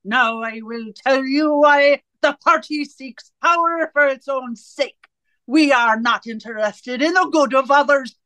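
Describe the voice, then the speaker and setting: A woman playing a cold, domineering interrogator in a radio drama, speaking in deliberate, commanding, rhetorical questions and pronouncements. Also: high-pitched, pushed and bright, dry recording, low-quality recording. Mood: scornful, fervent. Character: cold, domineering party interrogator and torturer, righteous and controlling. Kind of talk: theatrical radio drama acting.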